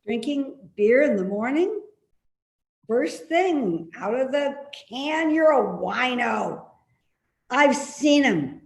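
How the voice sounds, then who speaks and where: A woman's voice: sits high, animated, takes it slowly, echoey room, heavily acted. A woman acting a cantankerous, stubborn and suspicious older mother in a play reading, with a querulous, scolding manner. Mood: indignant scolding.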